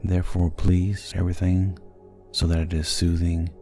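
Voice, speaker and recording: Very deep, mellow male hypnosis guide. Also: completely flat delivery, soft background music. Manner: soothing, gentle, measured delivery. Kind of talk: sleep hypnosis guided meditation, scripted narration.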